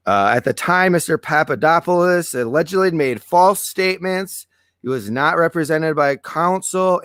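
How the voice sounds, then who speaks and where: A man co-hosting a casual political podcast who reads news items aloud, keeps clearing his throat and gives blunt opinions, and whose voice is going hoarse. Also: fairly high pitch, a bit bright, dry recording, studio quality. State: losing his voice. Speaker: podcast co-host.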